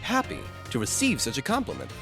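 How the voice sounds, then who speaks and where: Cartoon fan dub: male actor as upbeat, flourishing language teacher, very animated delivery over clear background music. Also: high-pitched, fairly quick, full character voice. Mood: upbeat, instructive. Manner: theatrical, lecturing, multilingual flourishes. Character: cheerful male language teacher peppering speech with foreign phrases.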